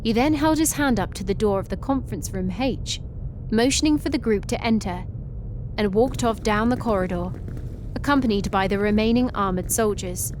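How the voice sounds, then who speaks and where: Woman reading sci-fi story narration, steady pace, faint noise. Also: mid-range voice, moderate pace, poor audio.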